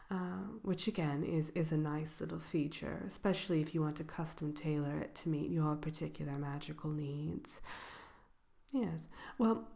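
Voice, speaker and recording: A woman playing a polite, slyly malicious wicked witch selling brooms in a soft, hesitant, unhurried ASMR roleplay. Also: low voice, creaky. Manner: hesitant, unhurried, genteel shopkeeper patter. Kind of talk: ASMR roleplay, soft-spoken and partly whispered.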